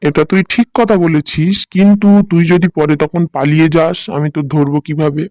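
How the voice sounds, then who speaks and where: Man as a hungry, bossy high-voiced wolf. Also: steady tone, fairly quick, a little mellow, dry recording, lightly acted. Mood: grudging. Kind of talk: cartoon voice acting.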